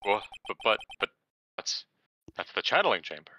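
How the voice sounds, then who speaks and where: A man's voice: on the low side, sing-song, normal speed, pushed and bright, slight background noise, heavily acted, poor audio. A man voicing a flustered, pompous judge in an amateur courtroom game fan dub, theatrical and blustery.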